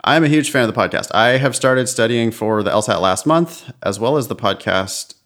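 A man's voice: mid-range voice, normal speed, fairly bright tone. A man co-hosting a test-prep podcast, chatting casually in a blunt, exasperated way and laughing often. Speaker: podcast co-host.

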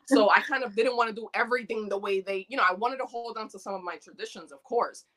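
A woman from New York, born in the Dominican Republic, talking as a doula guest in an earnest, chatty, animated way. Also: mid-range voice, quick pace, low-quality recording. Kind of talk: casual interview conversation.

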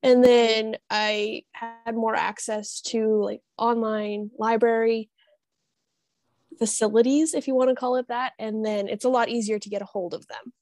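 Young woman, higher voice, dry sound. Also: fairly even intonation, measured pace, darkish tone, dry recording. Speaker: podcast guest. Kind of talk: casual chat.

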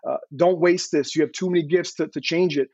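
A man's voice: fairly high pitch, steady tone, brisk, darkish tone, dry recording, muffled recording. A man in his thirties, born in Serbia, telling his story as an interview guest in an earnest way, stumbling and repeating words. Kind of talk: casual interview.

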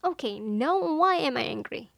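A woman's voice: high-pitched, animated, moderate pace, creaky, fairly bright tone, dry recording. A woman who speaks English as a second language, giving casual advice in a playful, self-deprecating, chatty way. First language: not English. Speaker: content creator giving language-learning advice. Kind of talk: casual monologue to listeners.

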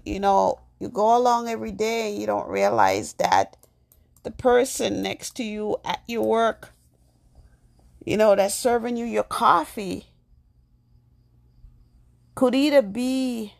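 Slow, gravelly-voiced woman podcast host. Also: medium pitch, big pitch swings. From Jamaica. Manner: soft, slow and earnest. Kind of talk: reflective podcast monologue.

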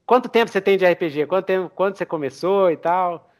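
Male Brazilian podcast host, high voice, informal and slangy, conversational interview style. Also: fast talker. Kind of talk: casual chat interview.

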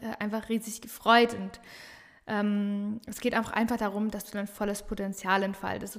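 A woman's voice: a little high, a bit bright, long reverb tail. A woman with a regional dialect hosting a motivational solo podcast, talking emphatically and energetically, often repeating phrases for emphasis. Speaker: business coach and podcast host.

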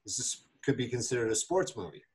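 A man from the Boston area hosting a podcast, joking in casual banter with halting fillers and restarts. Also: mid-range voice, lively intonation, darkish tone, husky. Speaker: podcast host.

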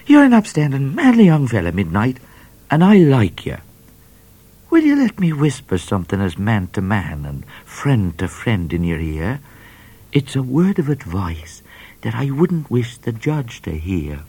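A man's voice: mid-range voice, huge pitch swings, measured pace, warm tone, breathy edge, voice pushed up, heavily acted. A man voicing a friendly, plain-spoken male trooper in a storybook reading. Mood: hearty.

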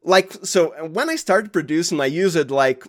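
A man's voice: on the high side, expressive, moderate pace, fairly bright tone. A man with a Brazilian accent and Portuguese as his first language, chatting casually as a podcast guest and searching for his words. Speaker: podcast guest, audio fiction producer. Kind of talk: casual interview chat.

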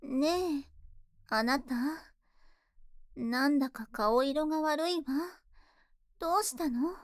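A woman voicing a lovesick, obsessively devoted female spider monster in an ASMR voice drama, speaking in soft, intimate, doting tones. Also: very high-pitched, no room sound, clean studio sound. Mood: anxious concern. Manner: slow, intimate, doting feminine speech. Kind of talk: Japanese ASMR situation voice drama.

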